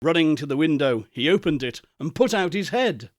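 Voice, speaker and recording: A man narrating a Victorian story as a theatrical, expressive audiobook reader. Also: on the high side, normal speed, no echo, clean studio sound.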